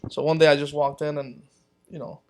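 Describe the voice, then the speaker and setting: A man from Palestine whose first language is Romanian, telling his story as a guest in relaxed casual chat, often chuckling and repeating words. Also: a little high, a little fast.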